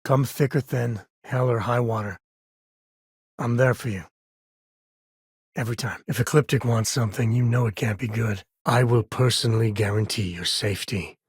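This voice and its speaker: A husky, dry-recorded game companion, a space-cowboy father with a sardonic streak. He sits at an ordinary male pitch and talks in a casual, folksy, protective way. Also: normal speed, no echo. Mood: sardonic, earnest. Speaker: video game voice actor. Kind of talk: scripted game companion dialogue.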